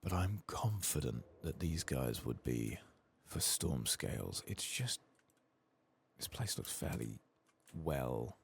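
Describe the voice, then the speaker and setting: A soft-spoken man murmuring unhurried ASMR game commentary, often slipping into a whisper as he muses to himself. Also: rumbling low, expressive, creaky, slight background noise. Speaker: gaming streamer, ASMR channel host.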